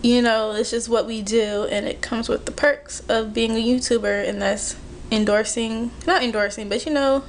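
A woman's voice: fairly high pitch, steady tone, moderate pace, a little hiss or hum. A woman in her twenties chatting casually to her viewers while she does her makeup, full of "like" and "you know". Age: 20s. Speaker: beauty vlogger. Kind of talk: casual chit-chat while doing makeup. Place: bedroom at home. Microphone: built-in camera mic at close range.

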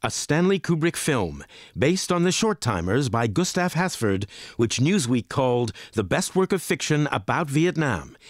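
An actor playing a voice artist in a radio drama, giving a polished, declamatory movie trailer read. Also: male voice, mid-range voice, very animated, normal speed, no echo, studio quality. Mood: solemn.

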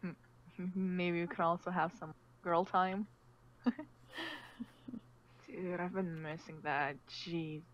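A woman playing a friendly, anxious young survivor in a casual, expressive game roleplay style. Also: lowish voice, slow pace, long pauses. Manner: casual, expressive, hesitant repetitions. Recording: muffled, slightly noisy home mic.

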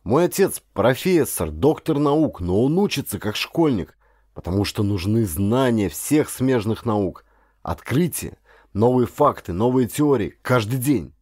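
A man's voice: mid-range voice, lively intonation, measured pace, a little mellow, slightly rough, dry recording, clean studio sound. A man, a professional actor, speaking as an audiobook narrator with a dramatic storyteller's delivery. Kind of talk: dramatic scripted audiobook narration, then direct address to listeners.

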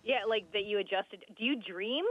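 A woman's voice: medium pitch, moderate pace. A woman hosting a podcast, asking casual, chatty interview questions over a radio link. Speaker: podcast host. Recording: tinny radio link, dry.

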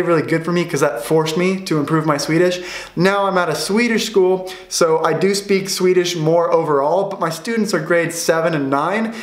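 A young American man hosting a casual vlog Q&A from a small room at home, upbeat and chatty, gesturing as he talks. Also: a little high. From United States. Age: young adult. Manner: upbeat, chatty, gestures a lot with his hands. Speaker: vlogger. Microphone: camera in front, head and shoulders framing. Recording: roomy echo.

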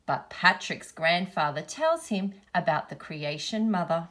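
A woman reading a children's picture book aloud in measured, deliberate phrases. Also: on the low side, sing-song, fairly bright tone. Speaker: storybook reader, teacher.

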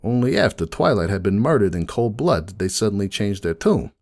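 A man's voice: fairly low pitch, big pitch swings, brisk, gravelly, darkish tone. A man voicing a bitter, grieving middle-aged pony who runs a cafe, weary and anguished, in a dramatic story reading. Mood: bitter, sickened. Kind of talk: dramatic fan fiction reading in first person.

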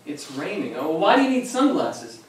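A man's voice: fairly high pitch, sing-song, normal speed. A young man acting an anxious, patient grandson who also narrates his memories in a live stage play. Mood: bemused. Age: young adult. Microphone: far camera in the audience. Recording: echoey small theater, distant camera, faint hiss.

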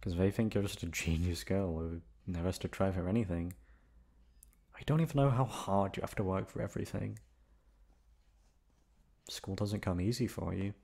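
A man playing a low-voiced young delinquent guy. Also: moderate pace, creaky, long pauses, mellow, slightly rough, full character voice. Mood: tender, concerned. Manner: casual, foul-mouthed, conversational. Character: protective young male delinquent student, gruff but affectionate. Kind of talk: intimate ASMR roleplay acting.

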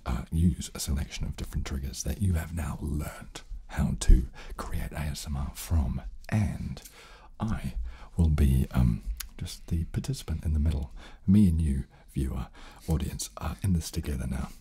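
A man's voice: extremely low pitch, moderate pace, hardly any pauses, breathy edge. A young man hosting a whispered ASMR session, murmuring close to a studio microphone. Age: young adult. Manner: murmured, hushed, close to the mic. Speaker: host. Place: small indoor studio set. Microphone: close studio condenser microphone.

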